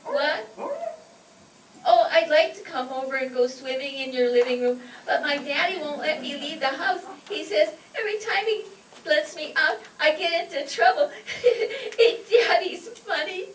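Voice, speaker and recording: A woman's voice: high-pitched, measured pace. A woman playing a chatty, mischievous little girl in an old-time radio comedy. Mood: innocent, chatty. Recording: roomy, distant mic, noisy live stage.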